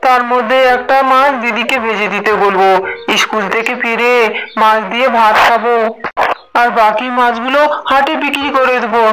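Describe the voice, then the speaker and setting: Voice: medium pitch, fairly even intonation, moderate pace, sharp tone, slight background noise, natural voice, rough recording. A performer voicing an eager, easily frightened young village boy in a cartoon story. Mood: hopeful, planning.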